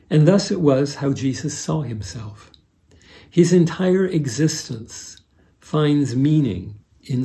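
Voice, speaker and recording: An American man in his seventies giving a scripted sermon as a pastor, measured and deliberate, with pauses. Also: medium pitch, gravelly, mellow. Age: 70s. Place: furnished room with fireplace, indoors.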